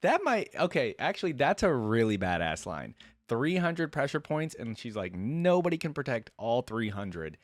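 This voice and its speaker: A man's voice: medium pitch, very animated, moderate pace, dry recording. A man giving casual reaction commentary as a video host, thinking aloud in an informal, rambling way.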